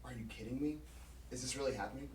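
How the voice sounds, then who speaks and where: A man's voice: medium pitch, quick pace, natural voice. A man playing an earnest young college student with a casual, understated delivery in an audio drama. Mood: amazed. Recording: echoey, distant, background noise.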